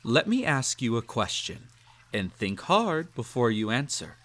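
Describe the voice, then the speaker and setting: A man playing a cruel, possessive emperor in a roleplay audio, speaking with haughty, silky condescension. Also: mid-range voice. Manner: haughty, condescending, drawn-out teasing delivery with soft chuckles. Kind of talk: roleplay audio acting, intimate second-person monologue.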